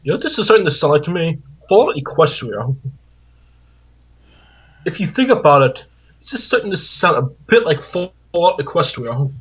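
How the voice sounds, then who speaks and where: A man's voice: a little high, sing-song, moderate pace, a bit bright, husky, rough recording. A man making casual comments as a co-commentator on a livestream. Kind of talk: casual chat.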